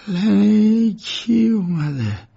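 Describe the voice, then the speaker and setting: Bedridden elderly lawyer for audiobook fiction, voiced by a man, feeble, weary, gravelly and slow, on a slightly muffled recording. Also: high-pitched, very animated, mellow, voice pushed up, exaggerated voice. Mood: frail, weary. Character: sick, bedridden old bearded lawyer, courteous and weary. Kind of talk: audiobook character voice.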